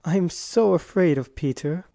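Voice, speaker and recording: A woman's voice: lowish voice, a little slow, creaky, warm tone, no echo, studio quality. A woman voicing a solemn, earnest young boy character in a storybook reading. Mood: fearful. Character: young lost boy, proud then sorrowful and afraid.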